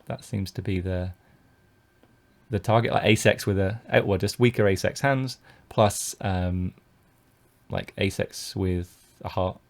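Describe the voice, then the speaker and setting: Gravelly-voiced British man commenting on poker. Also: sits low, a little slow. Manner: thinks aloud with many fillers and restarts. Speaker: poker coach streaming his play. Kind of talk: live-stream commentary.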